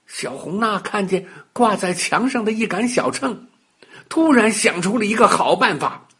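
A man telling a children's bedtime story in a dramatic, expressive way, with exclamations and sound words. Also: high-pitched, husky.

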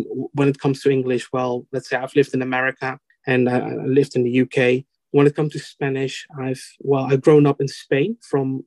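A man's voice: medium pitch, darkish tone, dry recording. A Dutch man whose first language is Dutch, answering interview questions as a podcast guest, hesitant with many fillers and restarts. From Netherlands.

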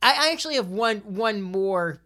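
A woman's voice: medium pitch, lively intonation, normal speed, pushed and bright. A woman chatting casually as a podcast panelist, often repeating words and restarting her phrases as she argues a point. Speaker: podcast panelist debating games. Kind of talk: casual chat.

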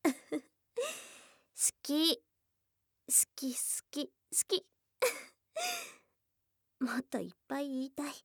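A woman's voice: high-pitched, wildly swooping pitch, drawn-out words, pauses often, fairly bright tone, no echo, studio quality, full character voice. A woman voicing a shy, blunt young goblin girl in love, in an intimate ASMR voice drama with tomboyish, affectionate speech. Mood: loving, giddy. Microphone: close dry studio mic.

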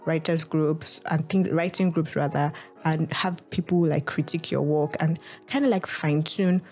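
A woman's voice: deep voice, fairly even intonation, normal speed, a little mellow. A young Nigerian woman with a Nigerian English accent, hosting a podcast in a casual, reflective monologue, full of "like" and restarts. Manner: rambling, heavy use of "like" and restarts. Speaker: podcast host.

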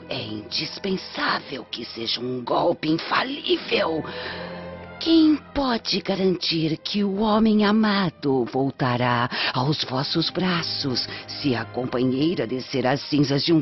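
A woman playing a scheming, menacing old witch in a radio drama, with a Brazilian accent and slow, theatrical delivery. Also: deep voice. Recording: muffled radio drama, music underneath.

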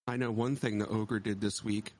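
A man's voice: fairly low pitch, steady tone, moderate pace. A man hosting a podcast in casual chat, telling stories animatedly, teasing his co-host and swearing a lot.

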